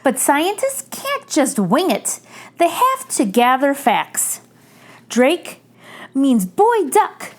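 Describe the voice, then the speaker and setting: High, very lively woman reading to kids. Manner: animated, deliberate phrasing for children. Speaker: storytime host reading a picture book aloud. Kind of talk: children's read-aloud, expressive storytelling.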